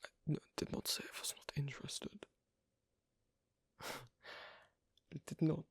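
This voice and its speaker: Guy doing a gruff shy-flirty werewolf bf for asmr, raspy breathy whispering, kinda halting, super dry close sound. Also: fairly high pitch, flat delivery, a bit bright, dry recording, heavily acted. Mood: guarded teasing. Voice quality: breathy, husky, mostly whispered. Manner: hesitant, halting, teasing. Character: gruff, guarded, flirtatious asocial werewolf man on a first date. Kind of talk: ASMR roleplay, intimate one-sided dialogue.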